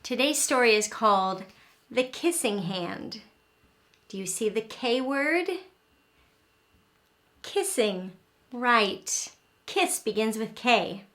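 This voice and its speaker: A woman narrates a picture book for young children. Her voice is on the high side and her pace is slow. She is expressive and instructive, like someone leading an early-learning lesson. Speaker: read-aloud host teaching young children. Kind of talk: scripted storybook narration with questions and explanations.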